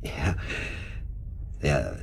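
An actor playing an indignant, stuffy club member squirming defensively in a German radio drama, formal, evasive and hesitant. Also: male voice, drawn-out words, a little hiss or hum.

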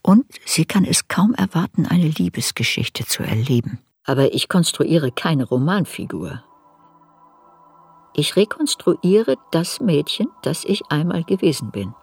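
An actor narrating a literary radio drama as a reflective narrator looking back on youth. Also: male voice, a little high, big pitch swings, a little slow, husky, exaggerated voice. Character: narrator recalling a girl's youth in reflective memoir. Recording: studio radio drama with music.

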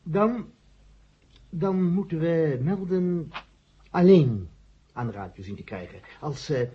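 A man's voice: fairly high pitch, lively intonation, slow pace, slightly rough, exaggerated voice. An actor playing a worried, hesitant male committee member who stammers and repeats himself in a radio drama. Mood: doubtful, hesitant. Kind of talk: 1970 Dutch radio drama acting. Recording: muffled old 1970 radio recording.

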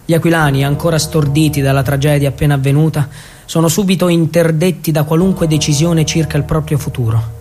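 High-voiced Italian man narrating dramatically. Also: fairly even intonation, a little mellow. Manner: declamatory, deliberate storytelling. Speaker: actor and narrator of a theatre monologue. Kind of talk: dramatic scripted theatrical narration for radio.

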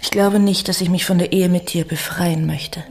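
A woman's voice: on the low side, steady tone, moderate pace, a little mellow, lightly acted. An actress in a German radio drama playing a married lady and secret lover, hesitant, intimate and full of longing. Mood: guarded. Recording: clearly noisy sound with clicks.

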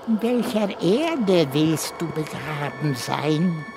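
A man's voice: on the high side, sing-song, creaky, over music, full character voice. An older man playing a dying old goatherd in a radio drama, bitter and fatalistic, with a thin, frail, brooding delivery. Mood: grim, brooding. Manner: slow, brooding, ominous.

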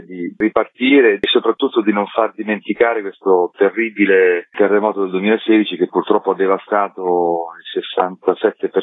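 A man's voice: low-pitched, dry recording. A man, explaining earnestly as a guest in an informal radio interview. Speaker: festival executive director, interview guest. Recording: tinny narrow phone line.

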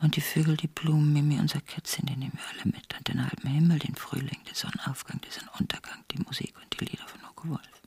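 A woman's voice: low voice, flat delivery, quick pace, vocal fry, darkish tone, breathy edge, no echo, whispered, exaggerated voice. An actress playing a grieving imprisoned revolutionary woman who talks to her dead lover in intimate, anguished radio drama monologue. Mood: dreamy, hushed. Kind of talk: literary radio drama acting.

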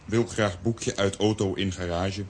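A big, simple, childlike strongman played by an actor in a children's radio play. He drops words in clipped phrases, in a lowish voice with faint hiss behind. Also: fairly even intonation, unhurried, natural voice. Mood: simple, earnest. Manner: clipped telegram speech, dropping words.

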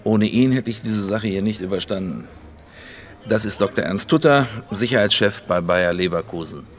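A man's voice: lowish voice, moderate pace, natural voice. A man playing a slick, chummy businessman with a persuasive way of talking in a radio crime drama. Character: slick male wheeler-dealer businessman.

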